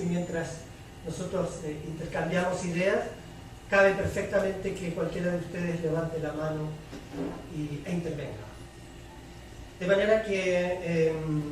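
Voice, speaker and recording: A man moderating a public panel in a semi-formal, measured way, with deliberate, halting phrasing. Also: high voice. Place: public debate room with audience. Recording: very echoey hall, distant mic, clear noise.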